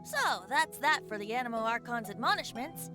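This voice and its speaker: A woman voicing a whimsical boyish male bard who is secretly a god, telling things in a measured, theatrical way in a video game. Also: fairly high pitch, lively intonation, natural voice. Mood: playful, wistful. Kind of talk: video game cutscene voice acting. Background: game music.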